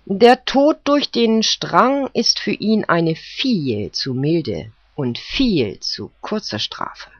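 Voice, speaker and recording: A narrator voicing a male fox jury foreman who reads out a formal, deliberate verdict. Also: medium pitch, very animated, no echo, heavily acted. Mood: solemn. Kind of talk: storybook character voice. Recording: dull, dry recording.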